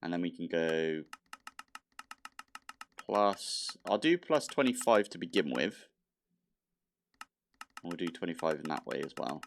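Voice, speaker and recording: A man's voice: low-pitched, expressive, a little slow, gravelly, pauses often, a little hiss or hum. A man casually commentating his modded block-building game, thinking aloud with mild exasperation, his nose slightly blocked. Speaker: modded Minecraft let's play host.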